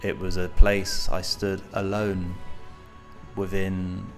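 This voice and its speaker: Low voiced guy doing slow dreamy narration over soft music. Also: monotone. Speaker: vlogger narrating his climb.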